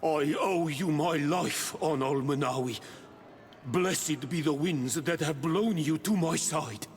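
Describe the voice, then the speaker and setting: An actor playing a solemn, grateful island hunter in a fantasy game, speaking ceremoniously. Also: male voice, on the high side, slow. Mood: solemn gratitude. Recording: reverberant space, music underneath.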